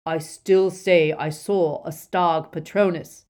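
A woman voicing a stern male dark-wizard henchman in an audiobook, loud, accusing and threatening. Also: on the low side, a little slow. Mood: insistent.

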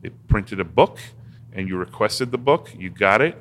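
Very deep-voiced man, rambling and halting. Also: expressive. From Massachusetts, USA. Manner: rambling, many restarts and fillers. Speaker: interview guest. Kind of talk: casual conversation.

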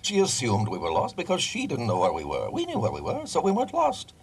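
Fussy, creaky-voiced alien man. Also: mid-range voice, huge pitch swings, fast talker. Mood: fussy, chiding. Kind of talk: comic science fiction radio acting. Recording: noisy old radio drama recording.

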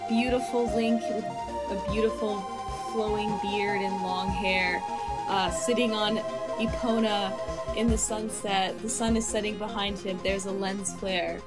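A young woman co-hosts a casual podcast, telling a story with plenty of "uh"s and "you know"s and laughing often. Her pitch sits in a middle range. Music plays clearly under her voice. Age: 20s. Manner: animated, laughs often, filler-heavy storytelling. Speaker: podcast co-host. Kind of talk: casual podcast chat, retelling a movie pitch.

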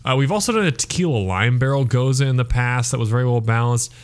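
A man with a mid-pitched voice talks as a regular reviewer on a casual show. He is analytical and descriptive, spelling out his impressions at length in loose conversational phrasing. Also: quick pace.

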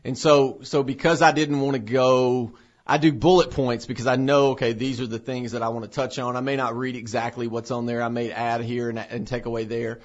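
A man co-hosting a church podcast in casual chat, telling lively stories and acting out quotes. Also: medium pitch, a little fast. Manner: animated storyteller, acts out quotes. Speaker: podcast co-host. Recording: dull lo-fi podcast audio.

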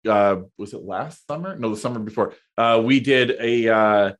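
A man in his thirties, chatting as a podcast guest, talking in a halting way with lots of fillers and restarts. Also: fairly low pitch. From Canada. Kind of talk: casual conversation.

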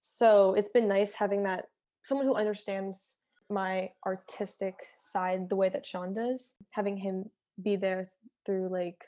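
A woman's voice: medium pitch, level tone, a little slow, warm tone. Casting: young woman, singer guest, chatty and filler-heavy. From Philadelphia. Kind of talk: casual interview chat.

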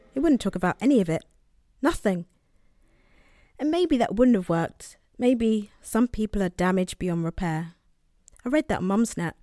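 An actress playing a blunt, protective, exasperated older sister with an English accent in a naturalistic audio drama. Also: female voice, on the high side, a little mellow, no echo, studio quality. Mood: anguished, reflective. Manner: emphatic, blunt, repeating phrases.

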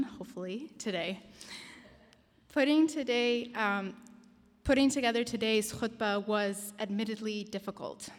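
A young woman from Denmark giving an earnest, personal pre-sermon talk with measured pauses, in a mosque prayer hall. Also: medium pitch. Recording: reverberant hall.

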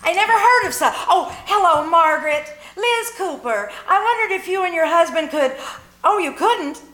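A woman playing a sharp-tongued, scheming housewife in old-time radio comedy, with sarcastic, emphatic delivery in a live performance. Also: very high voice, expressive, normal speed, exaggerated voice. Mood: hopeful, then peeved. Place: live stage performance at a winery. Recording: live roomy recording, faint noise.

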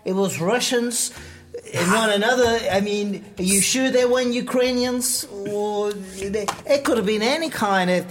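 Player as a Swiss-accented commander who questions his trainees haltingly, speech in a middling voice. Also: medium pitch, noisy background, lightly acted. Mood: questioning. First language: not English. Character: male military commander overseeing ninja trainees. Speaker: commander character. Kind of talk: tabletop roleplay in character.

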